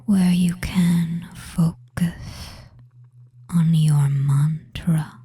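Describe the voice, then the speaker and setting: Breathy, whispering femboy witch, played by a man. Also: fairly high pitch, steady tone, warm tone, no echo, clean studio sound, exaggerated voice. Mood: soothing, possessive. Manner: very slow, lulling, murmured commands with long pauses. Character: soothing, possessive, dominant male femboy witch hypnotist. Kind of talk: whispered hypnosis audio roleplay, ASMR.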